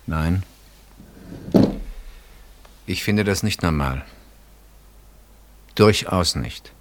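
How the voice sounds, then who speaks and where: An actor playing an outspoken, probing brother-in-law in a German radio play, speaking assertively and expressively. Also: male voice, deep voice, very slow. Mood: subdued, uneasy. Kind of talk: German radio play acting, naturalistic dialogue.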